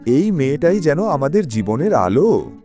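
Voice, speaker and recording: Actor as mellow, loving village father. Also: on the high side, very animated, moderate pace, faint music behind. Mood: fond. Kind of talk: animated story dialogue.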